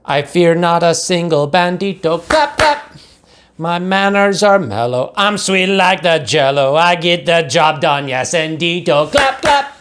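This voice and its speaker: A man voicing a boisterous young boy kitten who plays a Chihuahua swordsman in a storybook, excitable and showy, with a mock Spanish accent. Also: on the high side, a little slow, background noise. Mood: showy singing chant. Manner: excitable, sing-song, showy.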